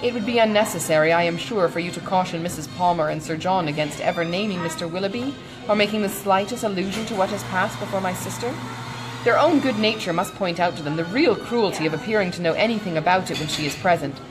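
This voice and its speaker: Casting: female reader as composed young gentlewoman for a storybook, protective and sensible, formal measured phrasing, music underneath. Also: on the low side, voice pushed down, natural voice, low-quality recording. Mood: grave, restrained.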